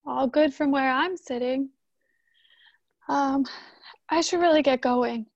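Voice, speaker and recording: Woman acting a high-voiced, hesitant wife. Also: dry recording, lo-fi sound. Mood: wistful, affectionate. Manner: hesitant, halting. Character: Hannah, unsettled married woman, a grown daughter. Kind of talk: radio drama acting.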